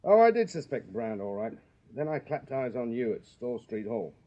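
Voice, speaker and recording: An actor playing a shrewd Victorian police sergeant in a radio drama, English, clipped and plain-spoken with a drily insolent deference. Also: male voice, mid-range voice, big pitch swings, normal speed, mellow, natural voice. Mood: knowing, accusing. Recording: slightly dull radio recording.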